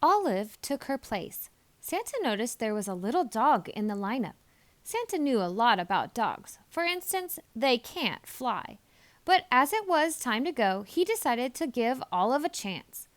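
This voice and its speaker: High, animated woman reading a storybook. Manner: expressive, deliberate emphasis on key words. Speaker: storybook reader. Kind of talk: animated children's story narration.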